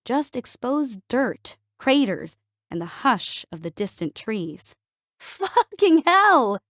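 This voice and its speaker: A woman's voice: mid-range voice, expressive, takes it slowly, no room sound, studio quality. A woman reading scripted narration as an audiobook narrator. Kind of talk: scripted narration of a sci-fi war story. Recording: music under the voice. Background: background music.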